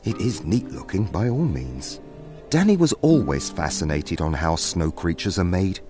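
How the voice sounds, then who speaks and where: A male narrator tells a scripted tale with theatrical care. His voice is deep and moves expressively up and down. Soft music plays underneath. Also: sing-song, normal speed. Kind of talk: scripted dramatic story narration.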